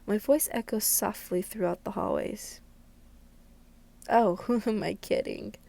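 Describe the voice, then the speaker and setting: A woman's voice: medium pitch, a little slow, dark tone. A woman doing a let's play, expressively reading visual novel narration aloud with stumbles and casual asides. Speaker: video creator playing a visual novel. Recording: clearly noisy recording.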